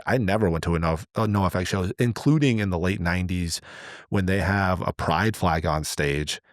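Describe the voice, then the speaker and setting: Deep-voiced man talking casually. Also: no echo, studio quality. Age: middle-aged. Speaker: podcast host. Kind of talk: casual reflective podcast conversation.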